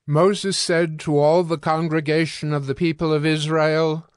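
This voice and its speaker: A man narrating scripture from a script in a measured, formal storytelling manner with deliberate phrasing. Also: on the high side, flat delivery, smooth, no echo, clean studio sound.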